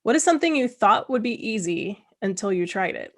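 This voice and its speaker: A woman's voice: on the high side, lively intonation, fairly quick. Chatty woman host, casual chat. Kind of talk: casual video-call chat.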